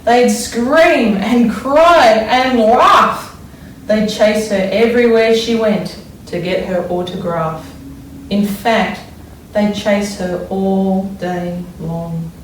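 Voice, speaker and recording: A woman teacher reading a storybook aloud to young children, expressively and deliberately, pausing to wonder aloud about it. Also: medium pitch. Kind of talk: expressive children's read-aloud with think-aloud explanations. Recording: echoey room, distant mic, faint noise.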